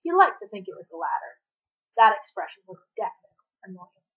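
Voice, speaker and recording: A woman's voice: medium pitch, big pitch swings, fairly quick, phone-quality sound. A woman reading fiction aloud as a narrator, in the manner of an author reading her own novel. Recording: thin, band-limited home recording.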